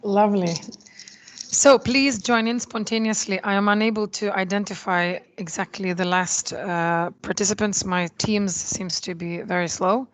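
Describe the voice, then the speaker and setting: A woman's voice: medium pitch, steady tone, normal speed. Woman host, polite, apologetic, video-call moderation. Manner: polite, apologetic, brief laughs. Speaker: meeting moderator. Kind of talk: online meeting moderation.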